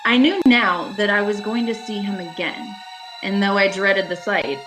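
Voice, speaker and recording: A woman's voice: medium pitch, fairly even intonation, moderate pace, lightly acted, poor audio. A woman playing an uneasy, haunted motorist driving alone, telling her story dramatically in an amateur radio thriller. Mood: haunted, dread. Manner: dramatic first-person storytelling. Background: music.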